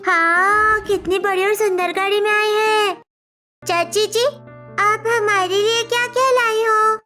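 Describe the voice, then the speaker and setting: Man plays eager little village girl for cartoon story. Very high, squeaky, slow delivery over music. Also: steady tone, pushed and bright, exaggerated voice. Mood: excited. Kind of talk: Hindi cartoon story character.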